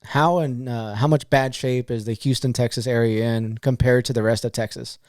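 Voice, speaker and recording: A man hosting a casual podcast interview, asking questions haltingly with frequent restarts. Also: mid-range voice, fairly even intonation, darkish tone, dry recording, clean studio sound. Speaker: podcast host.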